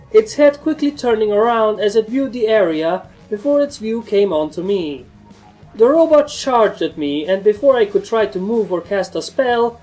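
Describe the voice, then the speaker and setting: Mellow woman narrating a story over music. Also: medium pitch, rough recording. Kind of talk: scripted first-person story narration.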